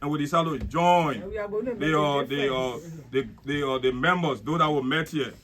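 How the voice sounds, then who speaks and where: A man giving a formal thank-you speech at an outdoor barbecue. His voice sits a little high for a man, and he moves at a measured pace, repeating words as he reaches for the next phrase. A busy crowd chatters all around him. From Liberia. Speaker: board secretary giving a speech.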